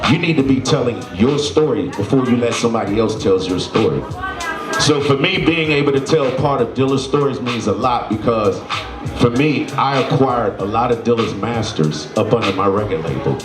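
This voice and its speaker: A man's voice: mid-range voice, expressive, normal speed, roomy sound, music in the background. A man speaking as a foundation representative, giving a passionate, blunt speech to a crowd and swearing freely.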